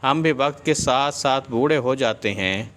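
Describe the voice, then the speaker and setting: A man's voice: medium pitch, a bit bright. A man reading a scripted moral story as a storyteller.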